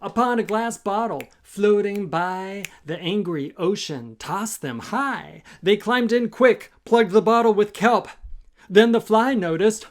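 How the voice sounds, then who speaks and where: A man tells a musical picture-book story to young listeners. He takes his time, with a deliberate, rhythmic delivery and a theatrical read-aloud style. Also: high-pitched. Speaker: children's author reading own book.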